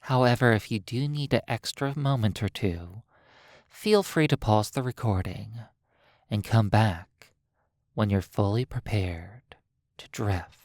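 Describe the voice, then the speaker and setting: A hypnotist narrating a guided sleep session in a soothing manner, leaving long pauses between short phrases. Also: medium pitch, darkish tone, no room sound, clean studio sound.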